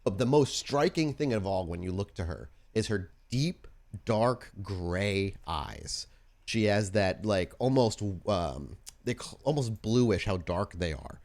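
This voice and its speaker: A man's voice: lowish voice, expressive, slow pace, a little mellow. A man working as game master, improvising descriptive, informal narration for a tabletop roleplaying game.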